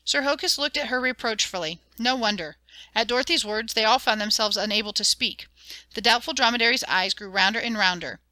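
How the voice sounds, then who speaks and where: A woman reading a children's audiobook as narrator, in an expressive, dramatic and clearly enunciated storytelling style. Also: a little high, fairly quick, dry recording.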